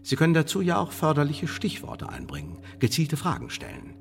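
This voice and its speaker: An actor playing a coolly menacing English intelligence official in a radio play, speaking in formal, measured, condescending bureaucratic phrases. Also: male voice, a little high, wildly swooping pitch, gravelly, slight background noise, heavily acted. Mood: persuasive, emphatic. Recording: studio radio play with long reverb.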